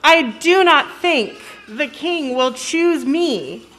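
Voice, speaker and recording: A woman playing a young, modest maiden puppet with deliberate, playful delivery. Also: sits high, animated, fairly bright tone, heavily acted. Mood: doubtful.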